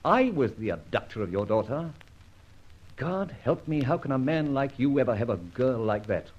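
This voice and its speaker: Husky, dashing masked radio adventurer. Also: male voice, mid-range voice, very animated, normal speed. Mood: accusing, commanding. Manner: theatrical, clipped, declamatory. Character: dashing masked vigilante adventurer, sardonic and commanding. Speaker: lead actor in a radio detective serial. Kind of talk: old-time radio drama acting. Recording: dull vintage radio recording.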